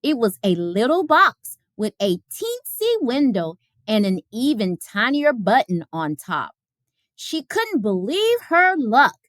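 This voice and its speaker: A woman reading a children's picture book aloud as a storyteller, with expressive, careful phrasing. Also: on the high side, slow pace, no room sound, studio quality.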